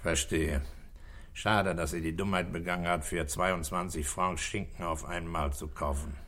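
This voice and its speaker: An actor playing a calm, probing police commissioner who asks terse, measured questions, in 1960s radio play style. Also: male voice, low voice.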